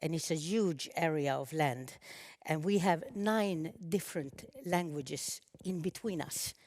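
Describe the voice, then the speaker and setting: Brief: woman in her fifties, lowish voice, halting earnest panel talk. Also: a little mellow. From Sápmi, northern Sweden. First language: Sami. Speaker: panel speaker. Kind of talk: conference speech.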